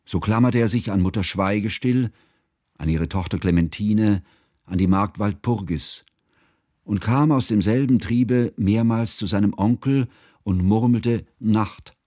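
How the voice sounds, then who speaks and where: An actor playing a grave, mournful narrator, reading literary prose in a solemn, articulate way for a radio drama. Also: male voice, lowish voice, measured pace, warm tone, no room sound, clean studio sound.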